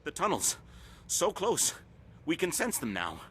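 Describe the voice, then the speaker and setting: Swooping, anguished hive-mind captive. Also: male voice, on the high side, wildly swooping pitch, a little slow, pushed and bright, a little hiss or hum, exaggerated voice. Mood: menacing. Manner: eerie, speaks of himself as a collective. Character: captive man absorbed into an insect hive mind, anguished, menacing. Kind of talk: video game character acting.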